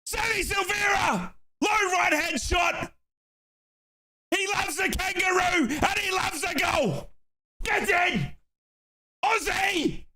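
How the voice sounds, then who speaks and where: Very high-pitched shouting male fan. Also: slow, long pauses. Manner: excitable, shouts chants and play-by-play. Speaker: live watchalong host. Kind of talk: live fan commentary on a football match. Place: home office.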